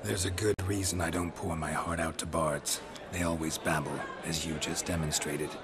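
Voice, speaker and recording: An actor playing a gruff, guarded monster hunter who talks in a terse, dry, unimpressed way. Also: male voice, extremely low pitch, fairly even intonation, normal speed. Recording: loud tavern crowd behind.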